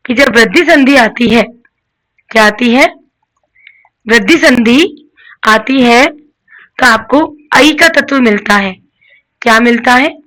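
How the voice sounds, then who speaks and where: Slow, patient woman teacher. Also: fairly high pitch, fairly bright tone, poor audio. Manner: asks questions and answers them herself; mixes English words into Hindi. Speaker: Sanskrit teacher. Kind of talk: classroom-style lesson.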